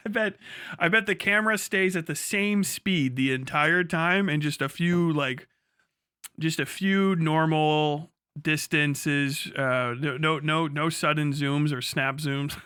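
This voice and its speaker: A man with a slightly high, forceful bright voice talks to his stream in a loose, rambling way. He is casual and sweary, with a joking bent, and the sound is dry and close. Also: slow, smooth, no room sound. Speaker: livestreamer. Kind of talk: casual live-stream chat with viewers.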